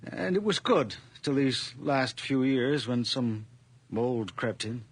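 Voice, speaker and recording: An actor playing a frail, world-weary and fearful old widower in a radio drama, with halting, sighing speech and a creaky rasp. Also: male voice, mid-range voice, expressive, full character voice. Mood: wistful, resigned. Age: older.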